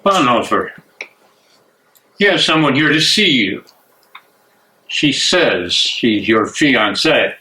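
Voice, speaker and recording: A man's voice: medium pitch, big pitch swings, slow pace, pauses often, pushed and bright, full character voice, low-quality recording. A man in a dramatic stage reading playing a suspicious, menacing SS major with a curt, mocking manner. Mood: stern, suspicious.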